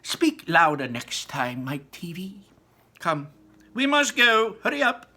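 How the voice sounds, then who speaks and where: A man voicing an impatient, eccentric male candy maker, exclaiming and rushing through his lines in a storybook reading. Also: sits high, very animated, sharp tone, pitched above the performer's own voice, heavily acted. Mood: excited. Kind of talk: storybook read-aloud.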